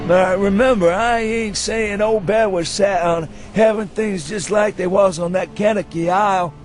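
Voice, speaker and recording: A man's voice: high voice, a little slow, music in the background. A man voicing a drunken, hysterical old man with a rural New England accent and rustic, goading speech in a dramatised story reading. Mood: conspiratorial, rambling. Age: elderly. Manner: rustic dialect, goading questions ending in "huh?". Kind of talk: dramatised audiobook reading for radio.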